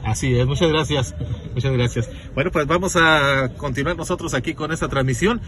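A man's voice: on the high side, fairly quick, fairly bright tone, a little hiss or hum. Male interviewer, easygoing. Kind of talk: broadcast interview hosting.

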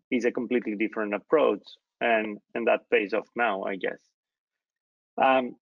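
A man from Greece hosts a video call interview, his voice gravelly and pitched mid-range. He talks haltingly, circling back with restarts and fillers. The call audio is dry and dull. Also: no room sound. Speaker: host. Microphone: laptop webcam mic on video call.

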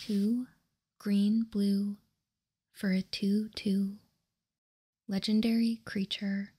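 A woman whispers an ASMR explanation close to the microphone. Her delivery is slow and very even, with a soft, mellow, breathy texture. She sounds calm and measured throughout. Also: medium pitch. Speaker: host of a card game ASMR channel.

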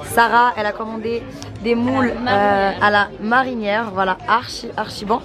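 A woman's voice: on the high side, steady tone, normal speed, music in the background. A young woman in her twenties, chatty and upbeat, vlogging casually with a camera held at arm's length. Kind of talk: casual vlog chat to camera. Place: busy restaurant, later a car.

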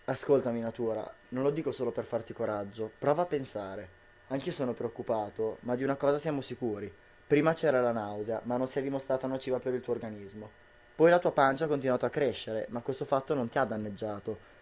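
A man's voice: medium pitch, quick pace, darkish tone, close to an everyday voice. A young man playing a worried, tender husband who earnestly reassures his partner in an amateur radio drama. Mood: reassuring, worried. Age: young adult.

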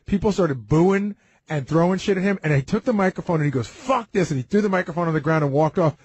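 A man with a husky, slightly high voice tells anecdotes on a casual talk panel. He moves quickly and speaks bluntly, swearing freely. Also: darkish tone. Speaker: radio show staffer on a talk panel.

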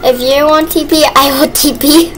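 A woman chatting casually and improvising role-play while gaming, excitable and bossy. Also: high voice, moderate pace. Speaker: gaming video player and role-play partner. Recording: noisy game-play voice recording.

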